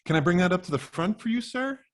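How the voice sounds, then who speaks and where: A man's voice: high voice, animated, fast talker, voice pushed up. A man voicing a bouncy, insistent teenage girl shop worker, chirpy and over-polite, in a novel reading.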